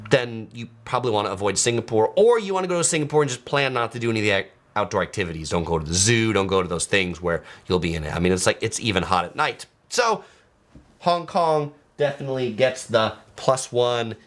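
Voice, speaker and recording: American male travel vlogger, animated pitch, loose chatty delivery with lots of fillers; live-stream host reading comments. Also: brisk. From San Diego, California, USA.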